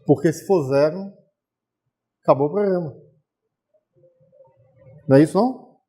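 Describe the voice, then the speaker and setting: Teacher explaining step by step. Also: male voice, a little high, normal speed, mellow. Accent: Brazilian Portuguese. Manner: explains step by step, checks in with viewers. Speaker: programming teacher. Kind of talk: recorded distance-learning lesson.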